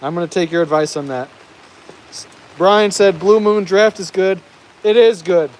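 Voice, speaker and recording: A fairly high-voiced American man chats loosely on an outdoor live stream, tripping over his words and laughing now and then. Rain patters steadily in the background. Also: normal speed. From United States. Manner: chatty, laughs often, stumbles over words. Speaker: vlogger reading live chat. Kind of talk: casual live stream chat. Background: rain falling.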